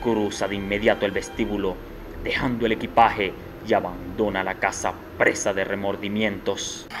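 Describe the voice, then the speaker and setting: Low-voiced male soap opera narrator. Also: flat delivery, moderate pace, fairly bright tone, a little hiss or hum. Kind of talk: dramatic scripted radio soap narration. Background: prominent music.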